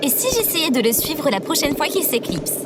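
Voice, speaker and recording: A woman playing a prim, dry-witted female assistant in an audio drama, speaking precisely and formally with a deadpan touch. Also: sits high, normal speed, over loud music. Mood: calm, concerned. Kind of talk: scripted audio drama acting.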